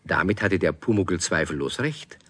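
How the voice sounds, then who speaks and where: A man playing the narrator of a children's radio play, telling the story in measured, articulate scripted sentences. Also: low-pitched, lively intonation, a bit bright, husky, dry recording, exaggerated voice. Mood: calm narrating.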